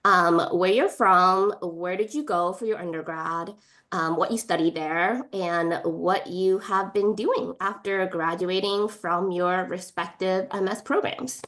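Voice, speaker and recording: A woman's voice: fairly low pitch, unhurried, a bit bright. A woman hosting an online panel as moderator, polite and organized, with frequent fillers, on a video call. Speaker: moderator, admissions director.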